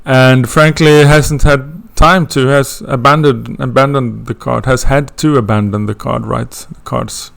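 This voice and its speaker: A man's voice: medium pitch, steady tone, unhurried, creaky, few pauses, fairly bright tone. A man from Sweden with a Swedish accent, introducing an astrology course in a hesitant way, with many fillers and restarts. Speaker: astrology teacher.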